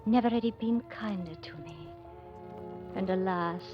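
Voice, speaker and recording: A woman's voice: medium pitch, a little slow, muffled recording, close to an everyday voice. Lady as demure lovesick young governess, 1940s radio acting, restrained n formal, muffly old recording w music. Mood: wistful. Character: demure, devoted young English governess, quietly lovelorn.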